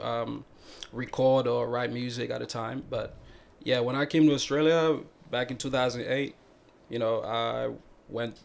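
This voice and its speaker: Young man from Ghana, relaxed, easygoing, quick to laugh, podcast guest, mid-pitched voice. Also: creaky. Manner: laid-back, laughs often, says "man" and "you know". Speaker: guest musician. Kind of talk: casual podcast chat.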